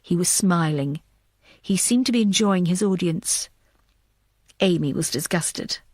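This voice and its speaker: A woman's voice: on the low side, measured pace, a little mellow, dry recording. Woman narrating a thriller, dry sound. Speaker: audiobook narrator.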